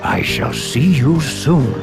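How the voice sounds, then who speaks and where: A man's voice: mid-range voice, animated, slow, gravelly. A man voicing a kindly, teasing, ancient sage with old-fashioned, grandfatherly speech in a video game. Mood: grave, measured. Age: older. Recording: game music behind voice. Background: music.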